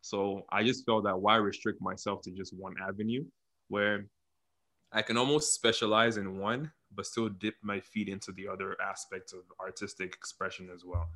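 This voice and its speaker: A man's voice: on the low side, fairly even intonation, normal speed, fairly bright tone. A young man from Nigeria, telling his story casually as an interview guest.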